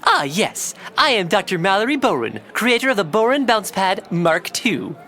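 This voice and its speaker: Animated, pompous eccentric woman scientist. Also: medium pitch, moderate pace. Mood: proud, pedantic. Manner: pompous, fussy, dismissive, emphatic. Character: proud, pedantic, eccentric female scientist and inventor. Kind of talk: animated game-world audio drama acting.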